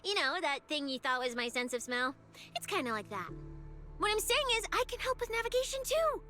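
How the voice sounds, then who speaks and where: A woman's voice: high-pitched, very animated, a little fast, bright, forceful tone, faint music behind, exaggerated voice. A woman voicing a cocky, teasing young snake-woman case specialist who talks in a playful, bossy way, in a game dub.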